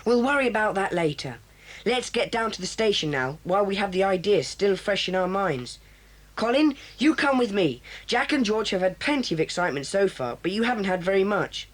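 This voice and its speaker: This is a confident boy club leader, played by a woman in a storybook drama. He speaks at a middle pitch, briskly and decisively. He sounds sure of what the group must do next. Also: sing-song. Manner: brisk, takes charge. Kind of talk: audio drama for children.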